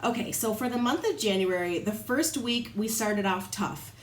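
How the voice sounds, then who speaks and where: A woman's voice: mid-range voice, animated, moderate pace, echoey room. A woman in her thirties with a Midwestern accent, talking casually to her camera at home while congested from a cold, a bit nasal. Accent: Midwestern American. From Midwestern United States. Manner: chatty, rambling with fillers. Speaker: lifestyle vlogger. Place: home room by a window. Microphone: camera on a small tripod.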